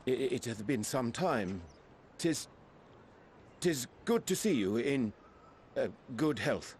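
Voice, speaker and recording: A man's voice: mid-range voice, sing-song, slow pace, a little hiss or hum. An actor playing a flustered man in a game cutscene who stammers and uses old-fashioned diction.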